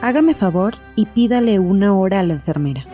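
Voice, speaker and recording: An affable, composed female psychiatrist played by a woman speaks gently and professionally. Her voice is dark and mellow with animated melody, over faint music in an audio horror drama. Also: mid-range voice, fairly quick, lightly acted. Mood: calm, probing.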